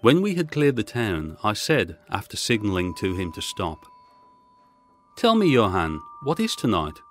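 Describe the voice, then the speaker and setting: Low-voiced man narrating Gothic fiction. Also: wildly swooping pitch, a little slow, a little hiss or hum. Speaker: audiobook narrator. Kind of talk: scripted narration of a Gothic short story. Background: music.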